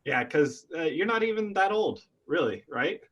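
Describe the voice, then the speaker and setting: A man's voice: on the high side, very animated, moderate pace, fairly bright tone, highs cut off. A man hosting a casual interview, chatty and enthusiastic, often restarting his sentences. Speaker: interviewer host. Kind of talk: casual video-call interview.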